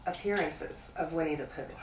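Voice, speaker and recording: A woman's voice: sits low, moderate pace. A woman reading a bedtime story aloud expressively as a storyteller. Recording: echoey room, distant mic, faint hiss.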